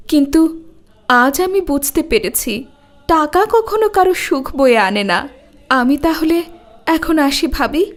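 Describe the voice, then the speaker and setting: A woman voicing a vain, envious married neighbour in a cartoon. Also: high voice. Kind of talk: Bangla cartoon dubbing.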